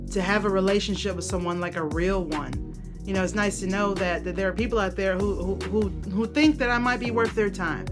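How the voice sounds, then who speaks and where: Candid woman vlogger over background music. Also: medium pitch. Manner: candid, self-deprecating, rambling; slangy, casual swearing. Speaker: video blogger and content creator. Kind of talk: casual vlog chat to viewers.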